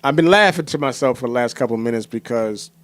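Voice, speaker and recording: A man's voice: medium pitch, fast talker. An American man co-hosting in casual, slangy chat, often adding "you know what I'm saying". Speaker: podcast co-host.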